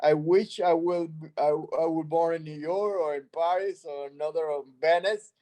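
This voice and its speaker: Soft-toned Cuban man, halting speech. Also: on the high side, fairly even intonation. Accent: Cuban Spanish. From Cuba. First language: Spanish. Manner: halting, many restarts, searching for words. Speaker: artist guest. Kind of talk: reflective interview answers.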